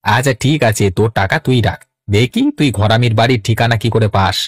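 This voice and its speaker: A man's voice: on the low side, fairly quick, no room sound, natural voice. A man voicing a boastful, wily village swindler with a cocky, coaxing manner in a cartoon. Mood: sly coaxing. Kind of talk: Bengali cartoon voice acting.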